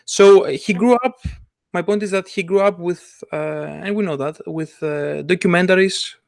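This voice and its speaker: Halting man, dry sound. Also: high voice, vocal fry, no room sound. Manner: halting, many restarts and fillers. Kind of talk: casual chat.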